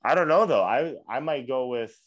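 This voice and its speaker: A man's voice: mid-range voice, lively intonation, normal speed. A man co-hosting a casual sports podcast, easygoing, hedging his takes and laughing often. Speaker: podcast co-host.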